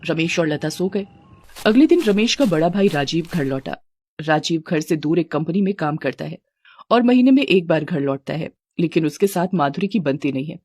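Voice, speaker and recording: A woman's voice: low-pitched, lively intonation, normal speed, a little mellow. A woman reading a scripted story as its narrator.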